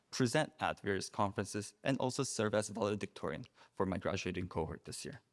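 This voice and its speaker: A young man from South Korea, a student, giving an earnest, formal prepared speech at an awards reception. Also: on the low side. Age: young adult. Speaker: student speaker. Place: reception room at a faculty club.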